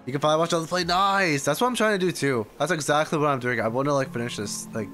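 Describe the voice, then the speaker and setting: A man's voice: fairly high pitch, fast talker, sharp tone. A young man streaming a video game, reacting casually and rambling in loose asides. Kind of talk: casual live gameplay reaction. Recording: light game music behind. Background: video game music.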